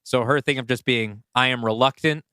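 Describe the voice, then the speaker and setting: A man with a clear, smooth voice at a middle pitch talks casually as a podcast co-host. He thinks aloud in a conversational way, and the recording is close and dry. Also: steady tone, brisk, pushed and bright, no echo. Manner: thinks aloud, often says "like". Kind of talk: casual brainstorming chat.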